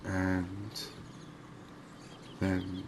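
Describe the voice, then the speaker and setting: Low-pitched, slow, monotone ASMR man. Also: gravelly, background noise. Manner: soft-spoken, unhurried, soothing. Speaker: gaming video host. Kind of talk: ASMR gameplay commentary.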